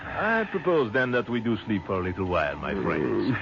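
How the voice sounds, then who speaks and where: Courteous French captain, wistful and low. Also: male voice, wildly swooping pitch, normal speed, gravelly, exaggerated voice. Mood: sleepy, gentle. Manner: formal, gently philosophical phrasing. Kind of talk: old-time radio drama acting. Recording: noisy, muffled old radio recording.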